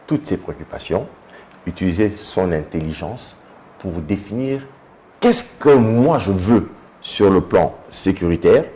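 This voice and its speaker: A man, a guest in a televised political debate, arguing in a measured way with many hesitations. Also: deep voice, darkish tone, a little hiss or hum. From Democratic Republic of the Congo. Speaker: guest commentator.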